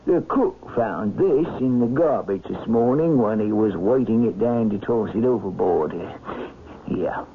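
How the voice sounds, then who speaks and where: An actor playing a hesitant, deferential ship captain in a radio drama, speaking haltingly. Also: male voice, lowish voice, lively intonation. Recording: noisy lo-fi vintage radio recording.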